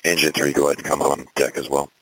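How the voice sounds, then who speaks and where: A man's voice: very deep voice, level tone, fast, fairly bright tone, rough recording. A man working as a fire incident commander, giving clipped, composed orders over a two-way radio. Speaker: fire battalion chief acting as incident commander. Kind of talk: procedural emergency radio dispatch traffic.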